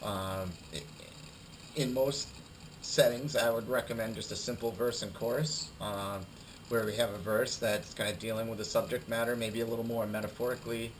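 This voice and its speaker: Man with a cold, halting presentation. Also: mid-range voice, steady tone, slight background noise, rough recording. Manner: halting, many fillers and repeated words. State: has a cold, losing his voice. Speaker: student presenter. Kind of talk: recorded class presentation.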